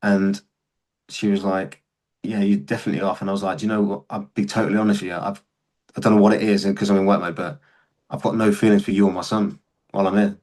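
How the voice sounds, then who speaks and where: A man's voice: fairly low pitch, little pitch movement, fast talker, a little mellow. A young man, a podcast guest and serving soldier, telling candid stories in a casual chat and swearing freely.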